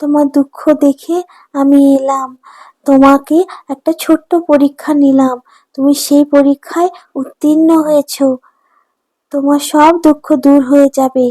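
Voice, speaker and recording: A woman's voice: high-pitched, little pitch movement, normal speed, mellow, no echo, lightly acted. A woman voicing a kindly water fairy in a cartoon, soothing and solemn as she gives blessings. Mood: reassuring. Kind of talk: cartoon voice acting.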